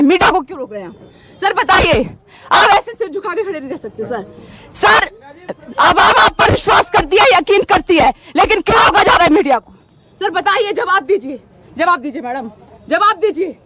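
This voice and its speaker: Very high-pitched, insistent woman reporter. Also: a bit bright. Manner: insistent, repeats pointed questions and demands; emphatic, indignant, rhetorical. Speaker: TV field reporter. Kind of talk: live confrontational on-the-spot reporting. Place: outdoors at a police roadblock.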